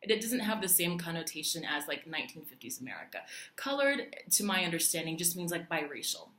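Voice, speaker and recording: A woman from Puerto Rico vlogging in a chatty, animated way, with lots of fillers and restarts. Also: lowish voice, fairly quick, slight background noise. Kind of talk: casual talk to camera. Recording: echoey room.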